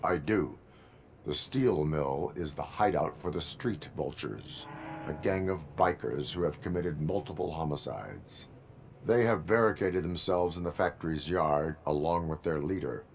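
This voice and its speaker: A man's voice: extremely low pitch, lively intonation, measured pace, a little hiss or hum, heavily acted. A man acting a stoic cyborg police officer in a video game, formal and clipped with a mechanical manner. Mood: formal, serious.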